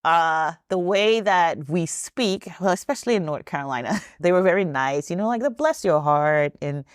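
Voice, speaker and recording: A woman's voice: fairly low pitch, lively intonation, moderate pace, clear, resonant tone, no echo, studio quality. A woman in her thirties from Trinidad, a podcast guest who tells stories in a chatty way and laughs often. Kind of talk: podcast interview storytelling.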